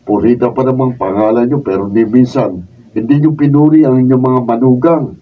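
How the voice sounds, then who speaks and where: A man's voice: medium pitch, steady tone, moderate pace, natural voice. A Filipino man playing a blunt, rebellious grown son in a radio drama, frank and reproachful. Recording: distant mic, dull sound.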